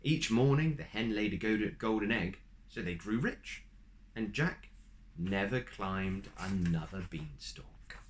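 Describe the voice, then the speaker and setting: Slow, animated male storyteller. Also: on the low side, slight background noise. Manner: animated, asides to listener. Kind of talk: reading a children's storybook aloud.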